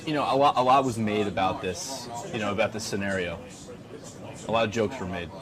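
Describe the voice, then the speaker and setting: A man's voice: lowish voice, fairly quick. A man interviewing in a mock-serious, probing way on a comedy radio show. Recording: crowd chatter in the background.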